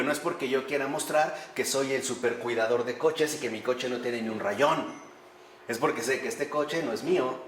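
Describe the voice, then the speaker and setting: A man teaching a lively lecture on faith, often posing rhetorical questions to his listeners. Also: mid-range voice, slight background noise. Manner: animated, rhetorical questions to the audience. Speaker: priest teaching a class. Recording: echoey room with faint ambience.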